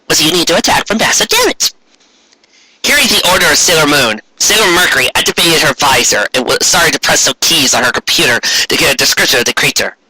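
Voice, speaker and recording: A man's voice: on the high side, pushed and bright, slight background noise, low-quality recording. A man narrating amateur fan fiction aloud in a dramatic, comic reading style.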